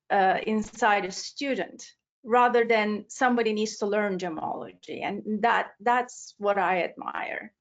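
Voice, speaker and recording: A woman interviewing a colleague, admiring and hesitant with many fillers. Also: fairly high pitch. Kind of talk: conversational interview.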